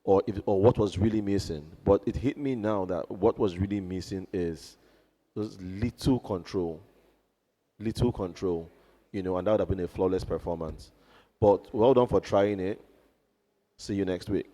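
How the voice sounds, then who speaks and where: A man giving a reflective, measured critique as a judge. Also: deep voice, dark tone. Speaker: talent show judge. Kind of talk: live critique.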